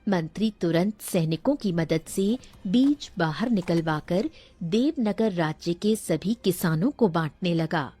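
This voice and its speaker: Female storyteller, scripted fable narration, slow delivery, somewhat low voice. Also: lively intonation, warm tone, breathy edge.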